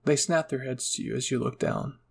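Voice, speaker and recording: A man's voice: medium pitch, brisk, vocal fry, husky. A man narrating a second-person roleplay story as a channel host.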